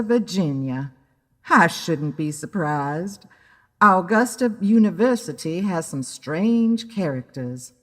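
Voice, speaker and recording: A woman voicing an amused, skeptical man who muses aloud to himself in an audio story. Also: fairly low pitch. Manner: snickering, musing aloud. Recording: long hall-like reverb.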